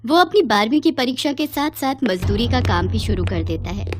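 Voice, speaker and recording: A woman's voice: fairly high pitch, lively intonation, brisk, faint music behind. A woman narrating a scripted moral story.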